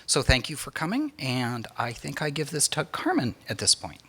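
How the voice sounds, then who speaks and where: American guy in his 50s introducing speakers at an event, reading from notes, clear forward bright voice. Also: medium pitch, huge pitch swings, normal speed, a little hiss or hum. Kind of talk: scripted formal introduction at a public talk. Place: indoor stage at a library event. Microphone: microphone on a stand.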